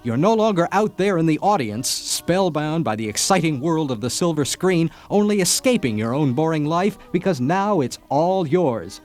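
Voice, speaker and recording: Grandiose radio pitchman, acted by a man: dramatic, very animated delivery over soft music. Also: moderate pace, few pauses, lightly acted. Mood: grand, enticing. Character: grandiose contest announcer selling a movie star's glamorous, tragic life. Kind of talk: satirical radio ad, scripted announcing. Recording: music bed under the voice.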